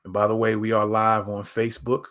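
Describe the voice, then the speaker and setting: A man's voice: fairly low pitch, completely flat delivery, brisk, fairly bright tone. A man hosting a live news stream, talking in a loose, rambling, easygoing way. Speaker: livestream news host. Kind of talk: casual live commentary.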